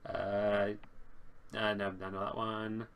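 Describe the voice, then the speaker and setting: A man speaking casually as game master of a tabletop roleplaying game, halting and repeating words as he thinks. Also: on the low side, monotone, a bit bright, slight background noise. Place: home online voice call stream.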